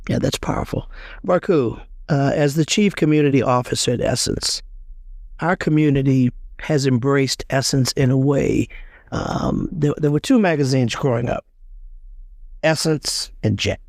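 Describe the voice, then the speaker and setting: A man's voice: medium pitch, measured pace, darkish tone, no echo, studio quality. A man hosting a conversational interview, earnest and prone to repeating words as he starts a thought. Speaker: interview host, congressman.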